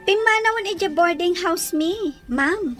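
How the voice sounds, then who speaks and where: A woman's voice: very high-pitched, expressive, unhurried, over music. An actress playing a glum, defensive young female student in an Ilocano radio drama, with a plaintive way of talking. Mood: resigned.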